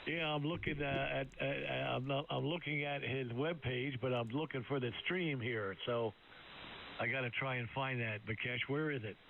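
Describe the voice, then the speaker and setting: Gravelly guy on ham radio, rambly and halting, thin tinny sound. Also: medium pitch, fairly even intonation. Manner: rambling, halting, many fillers. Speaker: amateur radio operator. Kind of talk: casual radio chat. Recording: tinny radio link with hiss.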